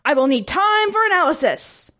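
A woman's voice: very high voice, big pitch swings, moderate pace, bright, forceful tone, pitched above the performer's own voice, heavily acted. A woman voicing a fussy, formal alien ship's medic in a sci-fi story. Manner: fussing, formal.

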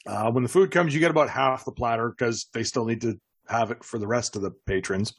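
Game master, husky voice, mid pitch, improvised tabletop narration. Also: brisk.

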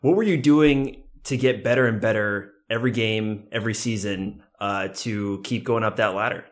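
A man interviewing a guest, asking casual, halting questions with many fillers. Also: on the low side. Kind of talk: podcast interview.

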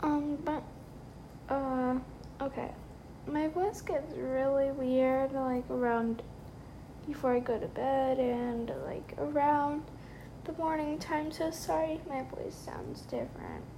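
High, slow, rambling young woman. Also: animated, warm tone, a little hiss or hum, rough recording. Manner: chatty, rambling, lots of fillers. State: tired, late at night. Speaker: podcast host. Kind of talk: casual solo podcast chat. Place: her bedroom at night.

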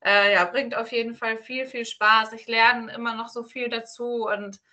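A woman's voice: on the high side, flat delivery, normal speed, bright, forceful tone. A woman from northern Germany answering interview questions as a guest in a casual, chatty, loosely strung way. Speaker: podcast guest, self-employed virtual assistant.

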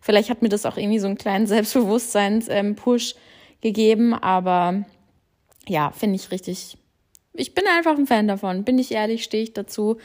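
A woman's voice: medium pitch, normal speed, darkish tone. A young woman hosting her own podcast, chatting casually and rambling with lots of fillers and stray English words. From born in Australia, raised in Germany. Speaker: podcast host. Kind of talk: casual solo podcast chat.

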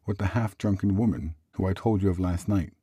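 A man voicing an earnest, relieved husband in debt in a storybook reading, speaking in a measured way. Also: deep voice, dark tone, slightly rough, no echo, clean studio sound, voice pushed up.

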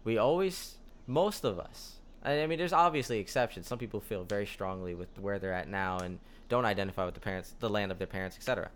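Laid-back young man with a low voice. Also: fast, hardly any pauses. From United States. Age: young adult. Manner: relaxed, rambling storyteller with frequent fillers. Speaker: podcast guest. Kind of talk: casual conversation.

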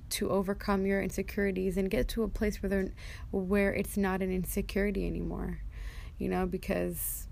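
A young adult woman hosting a personal motivational podcast, speaking casually and candidly in a halting way with an occasional stutter. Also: medium pitch, gravelly, darkish tone. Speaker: podcast host. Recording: noticeable background noise.

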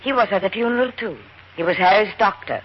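An actress playing a grieving young Viennese stage actress, who speaks quietly and guardedly. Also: female voice, medium pitch, expressive, fast talker, highs cut off, close to an everyday voice. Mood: sorrowful. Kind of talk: 1940s radio acting. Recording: hissy old radio broadcast recording.

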